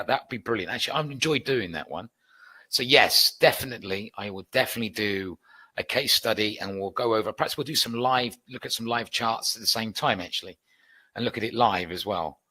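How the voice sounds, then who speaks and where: Bright-voiced British man hosting a webinar. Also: fairly low pitch. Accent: British English. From United Kingdom. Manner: chatty, upbeat, reads out and answers viewer requests. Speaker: trading educator hosting a webinar. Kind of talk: live webinar Q&A.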